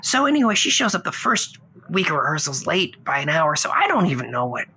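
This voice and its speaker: A woman playing a lovestruck, rambling caller on a comedy radio advice show, hesitant and earnest, with a husky voice. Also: sits low, big pitch swings, brisk, pushed and bright, a little hiss or hum, heavily acted, low-quality recording. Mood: smitten. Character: lovestruck, rambling radio call-in guest, newly divorced puppeteer. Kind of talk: improvised comedy call-in, conversational.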